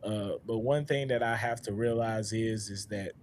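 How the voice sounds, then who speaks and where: A man in his thirties hosting a casual panel talk, speaking in a reflective, unhurried way with many "uh"s and a loud voice. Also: lowish voice, slight background noise. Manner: relaxed, reflective, frequent "uh" and "all right?". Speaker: podcast host.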